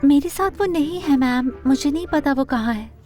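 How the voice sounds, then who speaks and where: A woman plays a young nanny in an audio drama, poor but defiant. Her pitch is high and her delivery is indignant and wounded, with faint music underneath. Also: moderate pace, mellow, lightly acted. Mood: startled, defensive.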